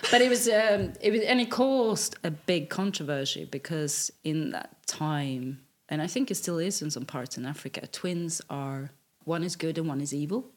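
A woman's voice: low voice, moderate pace, hardly any pauses. A woman in her fifties, born in Tanzania and raised in Sweden, chatting casually about her life, laughing often and trailing off mid-sentence. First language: English first, then Swedish. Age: 50s. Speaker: guest telling her life story. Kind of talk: casual conversational storytelling.

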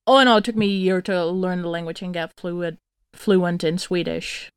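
A woman's voice: on the low side, moderate pace, clean tone, no room sound, studio quality. A young woman whose first language is Dutch, chatting as an interview guest, laughing often and acting out what others said. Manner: chatty, laughs often, quotes people in lively voices. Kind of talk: casual interview chat.

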